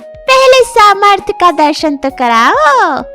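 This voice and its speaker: A woman's voice: very high voice, very animated, takes it slowly, a bit bright, faint music behind, pitched above the performer's own voice, exaggerated voice. A woman voicing a cheeky, fearless young male monkey who taunts and lectures in a children's animal fable. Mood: mocking, defiant. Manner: taunting, challenging, preachy.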